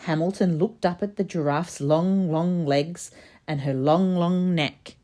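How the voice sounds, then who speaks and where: A woman reading a children's storybook aloud, expressive and emphatic in her narration. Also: fairly low pitch, unhurried. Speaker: storybook reader.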